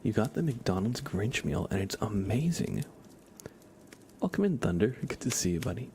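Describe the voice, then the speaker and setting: A man hosting an ASMR livestream, chatting softly and casually in a hushed, unhurried way that often slips into whispering. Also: fairly low pitch, very animated, slight background noise. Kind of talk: soft ASMR livestream chat.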